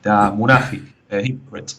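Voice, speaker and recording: A man's voice: fairly low pitch, fairly even intonation, brisk, fairly bright tone. A man from the Canary Islands with a Spanish accent mixed with British English, calling in and telling his story in a rambling way, full of fillers. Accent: Spanish with British English mix. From Canary Islands, Spain. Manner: rambling, many fillers and restarts. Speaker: caller. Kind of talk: phoned-in personal story.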